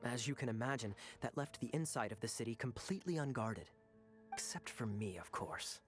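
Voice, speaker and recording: Male actor as young knight captain, smooth, sly, teasing, theatrical game dialogue, music behind. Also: medium pitch, lively intonation, close to an everyday voice. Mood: sly, measured.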